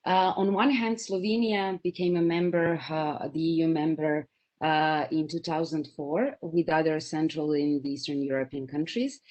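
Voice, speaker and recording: A woman from Serbia, a researcher, giving a panel talk and pausing often on fillers. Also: deep voice, darkish tone, lo-fi sound. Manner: hesitant, many fillers.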